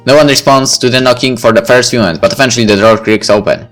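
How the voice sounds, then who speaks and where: A man's voice: mid-range voice, fast, fairly bright tone. Man reading a story game over music. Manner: stumbles over and rereads words. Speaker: reader playing through a visual novel. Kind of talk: narrated read-aloud of a story game.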